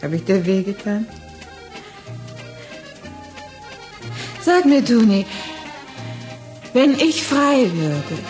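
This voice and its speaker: A woman's voice: mid-range voice, sing-song, slow pace, darkish tone. An actress playing an intense, yearning lover in a 1960s German radio play, intimate, pleading and possessive, with music under her voice. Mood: tender, insistent.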